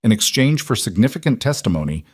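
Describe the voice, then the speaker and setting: Low-pitched man narrating a story, dry sound. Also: sing-song, fast talker, slightly rough, no echo. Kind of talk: scripted dramatic story narration.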